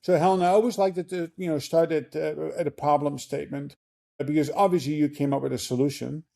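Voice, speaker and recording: Male podcast host, gravelly and mellow, rambling interviewer with frequent "uh"s. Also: fairly high pitch, steady tone, fast. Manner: rambling, many fillers and restarts. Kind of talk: casual interview talk.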